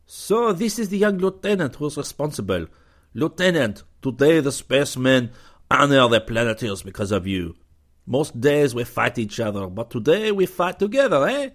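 A man's voice: medium pitch, big pitch swings, moderate pace, voice pushed up. A man voicing a gracious but sly French starship commander who speaks in flowery, ironic phrases. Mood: courtly, ironic.

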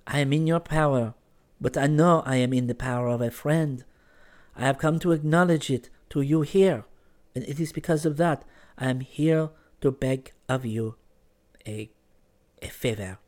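A man's voice: medium pitch, normal speed, darkish tone, voice pushed up, natural voice. A male narrator voicing a poised young Frenchwoman, teasing, candid and pointed, in a storybook reading. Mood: pleading. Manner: pointed questioning and scolding, sprinkled with French exclamations.

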